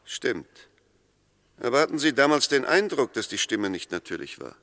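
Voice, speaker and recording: A man's voice: mid-range voice, very animated, moderate pace, fairly bright tone, no room sound. A man acting an insistent, courteous but sceptical defence lawyer in a 1960s German radio drama, precise and argumentative. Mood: probing. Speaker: actor in a radio play.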